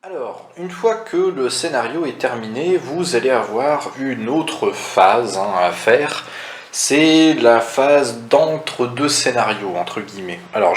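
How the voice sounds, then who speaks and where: A man presents board game rules in a casual, step-by-step tutorial, conversational and didactic, often asking questions and then answering them. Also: medium pitch. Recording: roomy echo, audible background noise.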